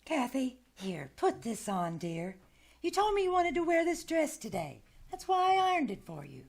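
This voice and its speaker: Woman as motherly radio-play nanny: highish voice, kindly, fretful, coaxing. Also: wildly swooping pitch, normal speed, creaky, heavily acted. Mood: gently evasive. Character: kindly, fretful nanny soothing a little girl. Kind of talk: old-time radio drama acting, live on stage.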